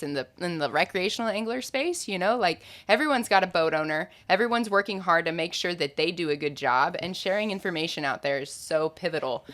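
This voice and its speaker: A woman's voice: medium pitch, a bit bright. Upbeat woman hosting a podcast. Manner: upbeat, conversational, quick to react. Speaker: podcast host. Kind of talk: casual interview.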